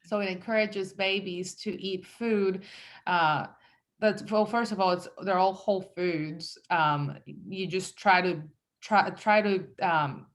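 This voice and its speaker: A woman in her thirties from El Salvador, a guest talking casually about her business with lots of fillers. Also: fairly low pitch. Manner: chatty, with frequent fillers and self-quotes. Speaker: guest entrepreneur. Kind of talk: casual conversational interview.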